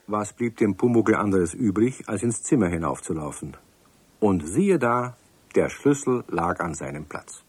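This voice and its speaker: A man narrating a children's radio play in a calm, measured way. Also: lowish voice, breathy edge, no echo. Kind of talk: scripted storybook narration.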